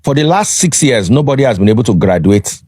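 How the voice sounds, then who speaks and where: Nigerian man panelist, argumentative, animated husky voice, dry sound. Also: medium pitch, quick pace, no room sound. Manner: pointed, argumentative, uses examples. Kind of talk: debate.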